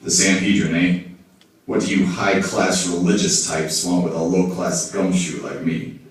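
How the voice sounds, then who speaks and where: A man playing a wisecracking, gruff private detective puppet in a film-noir parody, slangy and wry. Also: low-pitched, steady tone, unhurried. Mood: wry, skeptical. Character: wisecracking, down-on-his-luck hard-boiled male private detective puppet. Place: indoor hall, behind a puppet stage. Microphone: far camera in the audience. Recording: echoey room, distant audience camera.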